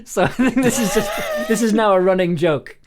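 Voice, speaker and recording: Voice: medium pitch, moderate pace, noisy background. A chatty producer who giggles and rambles while casually directing a voice actor on a livestream.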